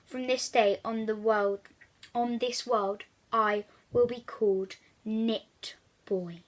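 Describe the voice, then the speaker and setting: A woman's voice: on the high side, steady tone, slow pace. A woman voicing a small, naughty schoolboy supervillain in a storybook, grandiose and commanding. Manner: commanding, declamatory.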